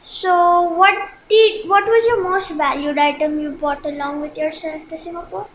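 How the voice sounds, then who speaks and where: Young girl student asking prepared questions, super high voice, echoey. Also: normal speed, fairly bright tone. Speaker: student interviewer. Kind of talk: reading interview questions. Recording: echoey room with faint noise.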